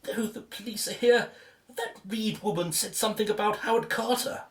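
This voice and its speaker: A woman's voice: medium pitch, normal speed, natural voice. A woman acting a shaken, superstitious expedition colleague in an audio drama, agitated and dramatic. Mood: panicked. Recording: roomy echo, distant from the mic.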